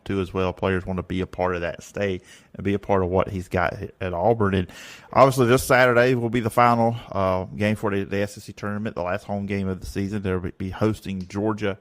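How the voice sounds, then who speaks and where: A man hosting a sports podcast, chatting casually and agreeing with his guest in a relaxed way, with many fillers. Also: deep voice. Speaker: podcast host.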